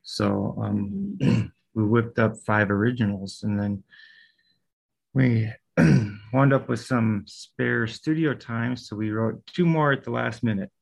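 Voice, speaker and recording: Gravelly-voiced man, hesitant. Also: mid-range voice, slow pace, low-quality recording. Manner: hesitant, starts with "um" and "I don't know". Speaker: guest musician. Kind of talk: casual interview chat.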